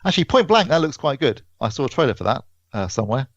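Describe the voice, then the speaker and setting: A man co-hosting a podcast, chatting casually and haltingly about films, thinking aloud as he goes. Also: medium pitch, very animated. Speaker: podcast co-host and film reviewer. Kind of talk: casual film release chat. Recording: dull, roomy lo-fi podcast audio.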